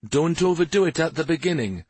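Man voicing a caring, watchful father, animated. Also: fairly high pitch, a little fast, husky, no echo, voice pushed up. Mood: concerned, advising. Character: caring, watchful father giving his son gentle advice.

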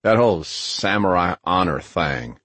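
A man's voice: low voice, animated, a little slow, fairly bright tone, dry recording, full character voice. A man voicing a middle-aged, jaded male antihero in an audiobook, trading sardonic, profane banter. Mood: jaded, wary.

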